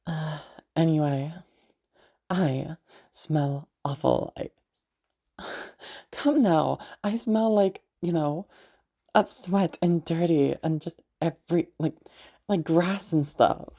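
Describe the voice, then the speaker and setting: Low, creaky young noblewoman mage, acted by a woman. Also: dark tone, heavily acted. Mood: playful, affectionate. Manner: halting, stammering, giggly, mild swearing. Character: flustered, affectionate young noblewoman mage, protective of her knight. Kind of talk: intimate roleplay audio drama.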